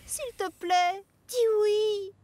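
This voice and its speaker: A woman voicing an eager, excitable young female train engine in a French cartoon dub, gushing and exclaiming. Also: extremely high pitch, drawn-out words, a little hiss or hum, exaggerated voice. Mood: excited, eager.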